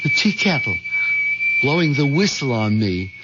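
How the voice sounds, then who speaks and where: An actor playing a timid, hapless henpecked husband with a nervous, hesitant way of talking, in a 1970s radio drama. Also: male voice, medium pitch, sing-song, normal speed, close to an everyday voice. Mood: anxious, exasperated. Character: timid, squeamish henpecked husband plotting murder, narrating. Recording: noisy, dull old radio broadcast.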